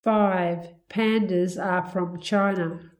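Very slow woman reading test items. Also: fairly low pitch, fairly even intonation. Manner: careful, clear enunciation. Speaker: listening test reader.

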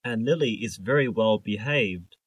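A man's voice: lowish voice, expressive, breathy edge, dry recording, muffled recording. Man reading a dry-sounding English listening test. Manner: measured, careful enunciation. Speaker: exam listening test reader. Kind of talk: scripted English lesson reading.

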